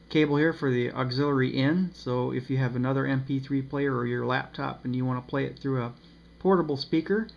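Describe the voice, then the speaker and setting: A man giving a casual, unscripted review of a radio, pausing often with ums and uhs. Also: medium pitch, vocal fry. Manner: hesitant, many ums and uhs. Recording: slightly dull with faint noise.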